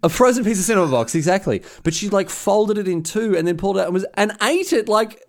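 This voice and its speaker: A man's voice: high-pitched, expressive, fast talker. An Australian man hosting a podcast, telling stories in casual comic chat and repeating phrases for emphasis. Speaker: podcast host.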